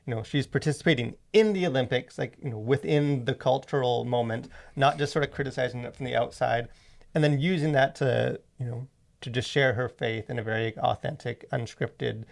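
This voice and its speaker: A man talking as a podcast co-host in a casual, halting, rambling way full of verbal fillers. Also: medium pitch, gravelly. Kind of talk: casual conversational podcast discussion.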